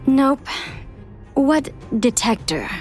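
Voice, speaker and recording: A woman playing a curt, indifferent vagrant in a video game, deadpan and blunt in clipped phrases. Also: sits high, extremely slow, heavily acted. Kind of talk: English video game voice acting. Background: game music.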